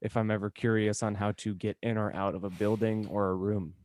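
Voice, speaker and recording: A man's voice: on the low side, flat delivery, a little fast, darkish tone. A man chatting casually on a comedy podcast, wry and profane in his banter with co-hosts. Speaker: podcast co-host. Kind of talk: casual comedic group chat.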